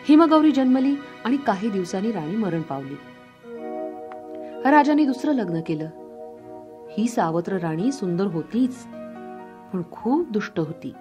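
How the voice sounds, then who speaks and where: Female storyteller for children, mid-pitched, highly varied intonation, light musical bed. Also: mellow. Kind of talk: expressive children's story narration.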